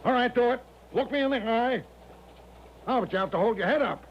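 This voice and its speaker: A man's voice: extremely high pitch, brisk. An actor playing an eccentric, boastful American major in a 1970s radio drama, coaxing and reassuring with a showman's grand patter. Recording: dull, noisy 1970s radio recording.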